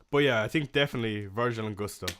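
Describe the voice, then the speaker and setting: A young man with a Waterford Irish accent chats loosely with his livestream audience. He sounds relaxed and friendly, full of fillers and small laughs as he reads comments and gives quick opinions. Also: medium pitch, slight background noise. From Ireland. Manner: chatty, laid-back, frequent fillers and soft laughs. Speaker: fantasy football livestream host. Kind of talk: casual live-stream chat reading comments.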